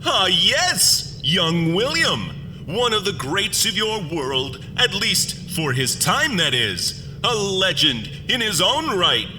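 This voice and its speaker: An otherworldly monster with the airs of a grand playwright, pompous and showy. The pitch is high and swoops dramatically, as in a radio play. It rings out in a long reverb. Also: male voice, very animated, slow, noisy background, full character voice. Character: theatrical alien monster, pompous self-styled thespian playwright. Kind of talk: audio drama acting.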